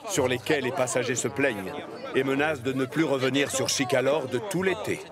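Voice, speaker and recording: A man's voice: mid-range voice, fairly quick, a loud crowd. A man narrating a children's train story in French from a script.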